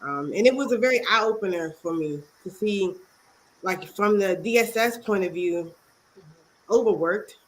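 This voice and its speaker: A congested woman from Maryland, speaking as a guest author and youth advocate in an interview, earnest and halting with many pauses. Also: medium pitch, slight background noise, rough recording. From Maryland, USA. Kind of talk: interview talk.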